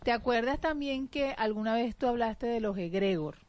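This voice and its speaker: A woman's voice: on the high side, moderate pace, darkish tone, dry recording. A woman co-hosting a spiritual talk show, affirming her partner and asking follow-up questions, often hesitating with fillers. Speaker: co-host.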